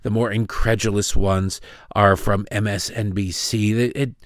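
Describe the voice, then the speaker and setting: A man hosting a show, delivering sarcastic, mocking political commentary with big swings in pitch. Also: little pitch movement, unhurried, no echo, clean studio sound. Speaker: host.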